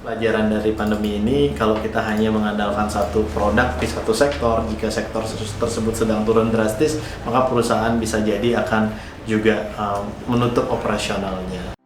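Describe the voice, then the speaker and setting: A man from Bali, giving earnest, measured explanations as a founder being interviewed. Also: lowish voice, flat delivery, noisy background. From Bali, Indonesia. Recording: roomy echo with music behind.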